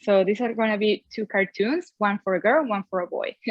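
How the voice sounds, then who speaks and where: A woman's voice: mid-range voice, normal speed, no room sound, lo-fi sound. A young woman with a Venezuelan Spanish accent, a native Spanish speaker, teaching an online drawing class in a cheerful manner and laughing often. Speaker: art teacher.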